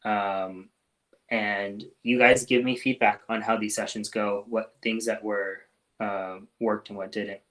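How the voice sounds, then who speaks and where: Laid-back man with a lowish voice. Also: measured pace, poor audio. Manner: relaxed, frequent ums and restarts, trails off softly. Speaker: doctor hosting a live Q&A. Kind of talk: casual live-stream Q&A.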